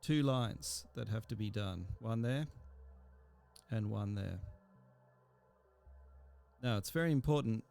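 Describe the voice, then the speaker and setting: Low creaky guy walking thru a tutorial, kinda halting, bit of music behind. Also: a little mellow. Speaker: instructor. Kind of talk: informal software tutorial, explaining step by step.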